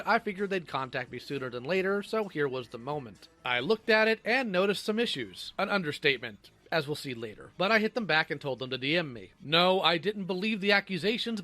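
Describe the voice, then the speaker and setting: Bright synthetic male narrator. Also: fairly high pitch. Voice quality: synthetic robot voice. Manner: sardonic. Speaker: video creator responding to allegations. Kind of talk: scripted narration.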